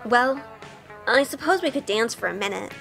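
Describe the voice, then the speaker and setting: A woman playing an earnest, sweet young witch heroine who narrates an audio drama in a gentle, measured way. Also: on the high side, expressive, creaky, big-room sound, faint music behind. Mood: sweet, shy.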